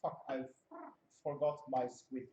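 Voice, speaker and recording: A man's voice: mid-range voice, little pitch movement, slow pace, mellow. A man hosting a casual convention panel, hesitant and full of fillers and restarts. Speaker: panel host. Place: convention hall room. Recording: roomy, dull room audio with faint noise.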